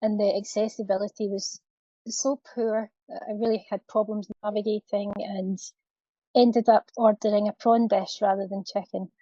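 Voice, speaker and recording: A woman's voice: medium pitch, flat delivery, normal speed, warm tone, no echo, highs cut off. A woman co-presenting a webinar, telling a wry anecdote.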